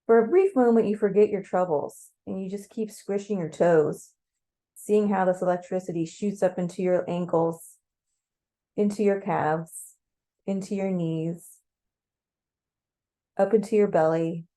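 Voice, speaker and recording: Slow, mellow woman telling a guided story. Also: lowish voice, fairly even intonation. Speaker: storyteller leading a guided visualization. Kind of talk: guided meditation storytelling in second person.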